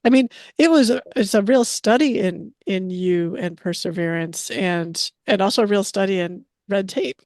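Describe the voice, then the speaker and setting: A woman's voice: mid-range voice, normal speed, hardly any pauses, a little mellow, dry recording, clean studio sound. A woman co-hosting a podcast, asking questions in a thoughtful, somewhat hesitant casual way. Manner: thoughtful, hesitant with fillers and restarts. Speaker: podcast co-host. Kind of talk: casual interview chat.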